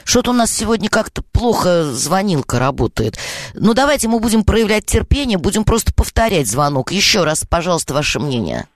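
A woman's voice: medium pitch, big pitch swings, a little fast, no room sound, studio quality. A woman with a Moscow accent hosting a radio call-in show, hesitant, with many fillers and stuttered starts. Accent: Moscow Russian.

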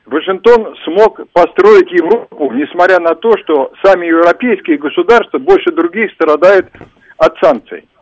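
A man's voice: a little high, dry recording. A man calling in to a radio show, making his argument in an emphatic, lecturing way. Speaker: caller. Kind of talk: phone-in commentary. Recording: dry, dull phone line.

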